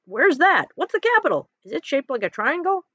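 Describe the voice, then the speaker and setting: A woman acts out a young storybook frog, male, whiny and anxious, given to grand theatrical delivery. He pitches up above a plain talking voice, and the recording is dry and a little dull. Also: very animated, a little fast, fairly bright tone, no room sound. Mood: eager questioning. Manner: whiny, theatrical, stuttering when scared.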